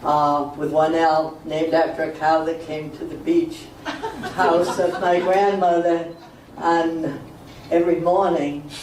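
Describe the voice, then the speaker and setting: An older woman with a loud voice, sharing old family memories in a wry, chatty way. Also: lowish voice. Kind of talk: oral history reminiscence. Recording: roomy hall from far off, faint noise.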